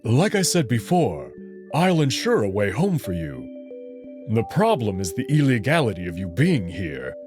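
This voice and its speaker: A man's voice: mid-range voice, huge pitch swings, normal speed. A man voicing a confident, teasing and protective alien wolf noble in a visual novel, speaking in an assured, slightly formal way. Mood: earnest, reassuring. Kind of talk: visual novel character acting. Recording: music behind the voice.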